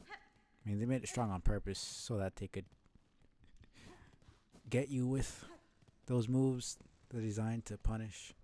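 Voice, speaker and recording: A man with a fairly low, soft-edged and dark voice commentates live on his own gameplay. He talks casually and analytically, rambling as he thinks out loud like a streamer. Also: steady tone, unhurried, pauses often, a little hiss or hum. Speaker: streamer playing a video game.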